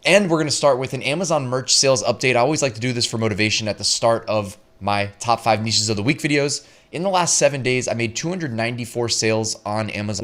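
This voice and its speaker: A man hosting a weekly online business show in a casual, chatty way, his voice slightly hoarse, often laughing and making asides. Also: mid-range voice, fairly bright tone. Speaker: print-on-demand show host. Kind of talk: casual talking-head business tips.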